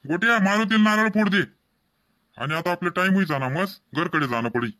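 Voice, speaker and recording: A man's voice: high voice, brisk, pushed and bright. A man voicing a proud, practical farmer father in a comedy cartoon, plain-spoken and directing, with an Ahirani accent. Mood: earnest.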